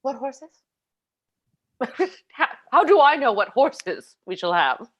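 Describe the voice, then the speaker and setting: A woman's voice: sits high, sing-song, takes it slowly, fairly bright tone, highs cut off, exaggerated voice. A woman playing a vain, fretful middle-aged stage actress in a play reading on a video call, theatrical in manner. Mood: indignant.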